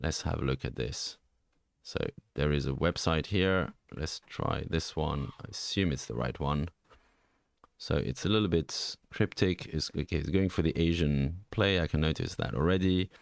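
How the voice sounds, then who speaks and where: A middle-aged man with a Swiss French accent and an extremely low, deep voice talks through charts in a rambling, think-aloud way, full of ums and restarts. The recording is dry and close, with no room sound. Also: normal speed, gravelly, no room sound. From French-speaking Switzerland. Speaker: NFT market analyst host. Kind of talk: casual screen-share commentary.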